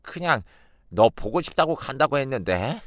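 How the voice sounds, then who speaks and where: A man's voice: mid-range voice, sing-song, normal speed, dry recording, studio quality, pitched above the performer's own voice, natural voice. A man voicing a bitter, grumpy old grandmother who scolds defensively, in a read-aloud story. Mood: defensive scolding.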